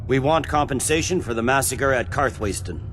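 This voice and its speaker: An actor voicing a stern, impatient middle-aged general in a fantasy game, clipped and commanding. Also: male voice, medium pitch, brisk, a bit bright, slight background noise, natural voice. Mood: measured, grudging. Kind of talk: dramatic fantasy game dialogue.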